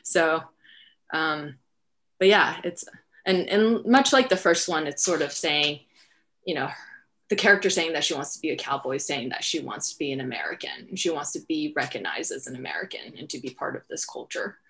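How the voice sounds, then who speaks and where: A woman's voice: sits low, moderate pace, fairly bright tone. A woman hosting an informal panel Q&A, speaking reflectively and searching for words. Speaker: writer and director hosting a panel. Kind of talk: informal Q&A talk. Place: indoor room at home, video call. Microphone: headset on a video call.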